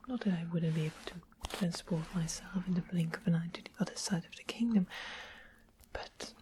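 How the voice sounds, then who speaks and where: A woman voicing a sweetly sly royal witch in a soft breathy whisper for an ASMR fantasy roleplay. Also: on the low side, fairly quick, close to an everyday voice. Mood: matter-of-fact, confiding. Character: sweetly sly royal witch trading rare magical items. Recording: clearly noisy close whispered recording.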